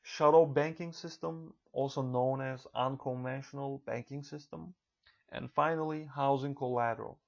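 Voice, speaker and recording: A man from Turkey with a Turkish accent delivers a recorded lecture for an online college course. His voice has a gravelly edge, and he speaks in a measured, careful way, sometimes restarting a phrase. His pitch sits in an ordinary middle range. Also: steady tone. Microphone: computer mic for a narrated slideshow.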